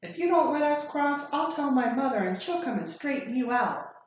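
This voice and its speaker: A woman reading a story aloud, voicing a menacing schoolboy bully with loud, taunting calls. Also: sits high, lively intonation, normal speed, voice pushed up. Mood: menacing, insistent. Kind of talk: read-aloud story acting. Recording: very echoey room, faint noise.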